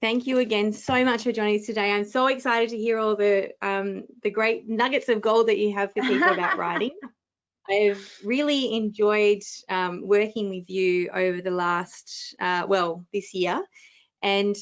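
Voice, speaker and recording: A woman's voice: medium pitch, steady tone, normal speed. A woman hosting a webinar, presenting writing tips encouragingly and then interviewing a guest. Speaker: webinar host. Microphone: video call. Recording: dull lo-fi video call audio.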